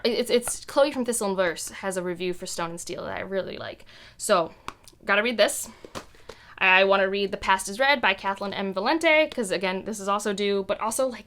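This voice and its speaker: A woman's voice: medium pitch, a bit bright. A woman chatting casually to camera about books, enthusiastic and rambling off into asides as she goes. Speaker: book vlogger.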